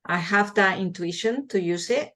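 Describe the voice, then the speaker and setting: A woman's voice: mid-range voice, expressive, normal speed, a bit bright. A woman in her forties from Spain with a Spanish accent, a midwife answering interview questions in a passionate, earnest way, often restarting her words.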